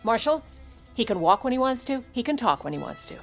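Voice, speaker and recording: Brisk, steely First Lady. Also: a little high, sing-song, faint music behind. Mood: tense, commanding. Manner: brisk, commanding, sardonic. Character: steely, wry, commanding First Lady covering for her ailing husband. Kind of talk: audio fiction drama acting.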